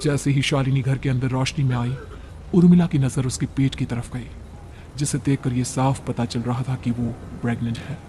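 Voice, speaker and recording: A man's voice: mid-range voice, steady tone, moderate pace, dark tone, slight background noise. A man narrating a scripted story in a dramatic storytelling style.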